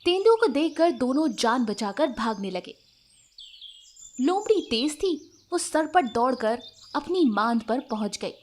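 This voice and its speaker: High-pitched woman storyteller. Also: slight background noise. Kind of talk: scripted children's story narration.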